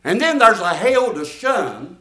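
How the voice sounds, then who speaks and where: An older man preaches from the pulpit, his voice pitched a little high. He is folksy and emphatic, putting questions to the congregation. The sound carries through an echoey church room. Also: sing-song, unhurried, bright, forceful tone. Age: 70s. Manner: folksy, emphatic preaching with audience call-and-response. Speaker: pastor. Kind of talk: sermon.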